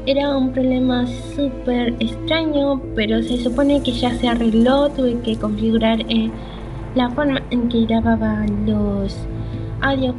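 Girl doing a casual let's play, high voice, kinda hesitant, clear game music behind her. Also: poor audio. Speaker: gaming channel host playing a video game. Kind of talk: casual let's play commentary, reading game text aloud.

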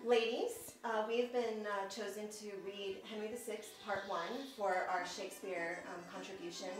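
An American woman speaks in a casual, enthusiastic way, like a presenter promoting a program. Her voice sits at a medium pitch, with faint music behind it. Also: steady tone, moderate pace, poor audio. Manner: enthusiastic, gushing with superlatives. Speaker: theater artistic director, presenter. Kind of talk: casual promotional address and interviewing colleagues. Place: small indoor offices of a theater.

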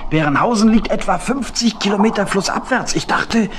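An actor playing a secretive, well-off water-mouse boat builder in a children's audio play, hesitant and conspiratorial. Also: male voice, high voice, normal speed, close to an everyday voice. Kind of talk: German children's radio play acting. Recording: noisy old audio play record.

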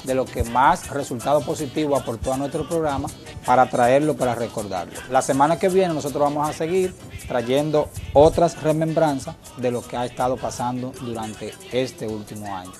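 A man's voice: medium pitch, moderate pace, darkish tone. Earnest male TV co-host. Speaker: TV talk show co-host. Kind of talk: casual studio chat. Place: TV studio set. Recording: TV broadcast with background music.

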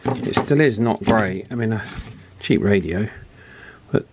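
A man's voice: on the low side, a little slow, noisy background. A man with a British accent giving a casual, unscripted product review, thinking aloud with chatty, drily understated asides. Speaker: YouTube radio reviewer.

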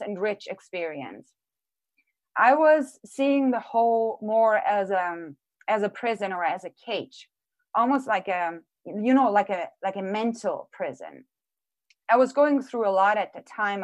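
A woman's voice: mid-range voice, no echo. A woman in her forties from Austria, giving a casual live talk about her art over a video call, thoughtful, with frequent ums and restarts. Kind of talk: casual presentation. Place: small room at home. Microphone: earphone headset on a video call.

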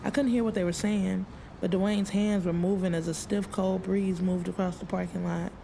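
A woman narrating first-person fiction as an audiobook reader, expressive and full of streetwise slang. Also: mid-range voice, normal speed, creaky, slight background noise. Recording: music bed under the voice. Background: background music.

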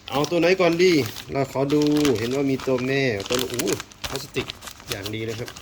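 Low-voiced man unboxing over background noise. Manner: thinks aloud, exploratory, frequent polite particles. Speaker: unboxing video host. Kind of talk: casual hands-on product unboxing commentary. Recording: clear background noise, rustling and clicking.

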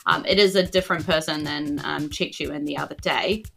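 A woman's voice: low-pitched, fairly even intonation, a little fast, creaky, fairly bright tone, faint music behind. A woman improvising narration as game master of a tabletop game, informal and descriptive.

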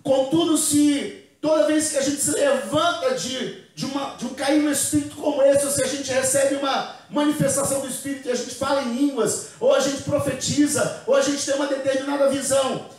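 A high-voiced man preaches into a handheld mic in a bare church hall. The sound rings with heavy echo and comes from some distance off the microphone. He leads call-and-response lines with the congregation. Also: fairly even intonation, a little fast, few pauses, a little mellow. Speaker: pastor. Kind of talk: Pentecost sermon.

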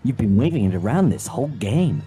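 A man's voice: mid-range voice, big pitch swings, fairly quick, mellow, natural voice. A man voicing a sassy, crude, erratic cartoon hedgehog in an improvised comedy fan dub, sarcastic and mock-dramatic. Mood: teasing. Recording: music behind the voice.

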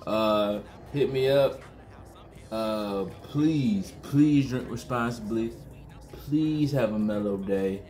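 A man's voice: on the low side, slow pace, a little mellow. A man in his thirties reviewing a beer to camera at home, talking casually in slang and repeating himself. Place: small room at home, indoors.

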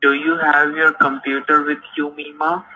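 A man's voice: a little high, little pitch movement, normal speed. Insistent man on a muffled phone line. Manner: insistent, repetitive, presses for details. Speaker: phone scammer.

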